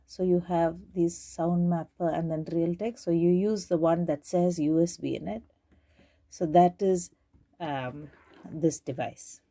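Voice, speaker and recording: Female tutorial presenter, slow and very mellow, unscripted, thinking aloud step by step. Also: fairly low pitch. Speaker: tutorial presenter demonstrating tape digitizing. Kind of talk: informal unscripted how-to demonstration.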